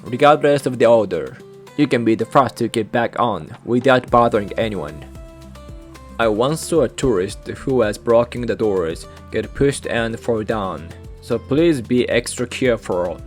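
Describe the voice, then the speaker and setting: A man with a Japanese accent, a native Japanese speaker, hosting a channel and narrating a scripted guide in even, careful short phrases. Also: mid-range voice, a little slow, faint music behind. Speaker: channel host.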